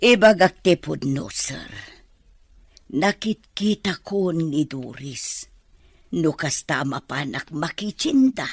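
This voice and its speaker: A woman's voice: low voice, animated, slow, no room sound, exaggerated voice. A woman acting a deferential, earnest older woman who confides in her boss, in an Ilocano radio drama. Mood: earnest, respectful. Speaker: voice actor in an audio drama.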